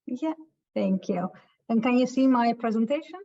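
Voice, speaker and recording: A woman from Ethiopia giving a webinar talk over a video call, speaking haltingly with many filler sounds. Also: a little high, animated, dark tone. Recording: muffled lo-fi call audio.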